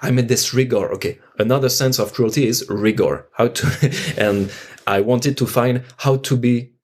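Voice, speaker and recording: A man's voice: mid-range voice, moderate pace. A man with a French accent, an actor being interviewed, speaking reflectively and searching for his words as he goes. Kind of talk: unscripted reflective discussion.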